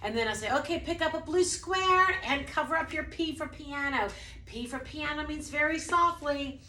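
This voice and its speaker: A woman's voice: normal speed, sharp tone. Chatty music teacher woman, echoey room. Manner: demonstrates by singing snippets, then speaks casually; says she has a low voice. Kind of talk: explaining a preschool music lesson.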